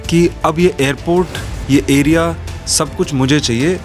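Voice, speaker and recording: A man's voice: a little high, a little slow, soft background music. A man explains informally, slipping into English phrases as he goes.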